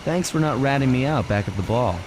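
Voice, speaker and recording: A cocky, easygoing thief with charm to spare played by a man, wry and smooth-talking like a cartoon game hero. His medium-pitched voice has music clearly playing behind it. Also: lively intonation, brisk, natural voice. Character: suave, cocky young male raccoon thief, easygoing charmer.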